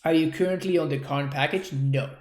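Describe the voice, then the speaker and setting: A young man from Argentina with an Argentine Spanish accent. He is a streamer giving relaxed, chatty commentary on his own gameplay and thanking viewers for their chat messages. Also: a little high, fairly quick, echoey room.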